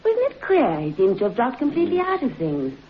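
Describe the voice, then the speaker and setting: A woman's voice: fairly high pitch, wildly swooping pitch, fairly quick. An actress playing a gushing, curious English society woman with effusive old radio drama diction. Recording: dull, faintly noisy old radio recording.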